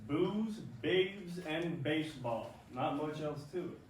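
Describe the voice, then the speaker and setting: A man's voice: fairly high pitch, expressive, slow pace. Man acting a brash ballplayer in an echoing hall. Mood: boastful, teasing. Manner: loud, boastful, needling. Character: brash, cocky young male big-league ballplayer. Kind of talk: staged reading of a play. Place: indoor theatre space, recorded from a distance. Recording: roomy, echoing stage, distant mic, faint noise.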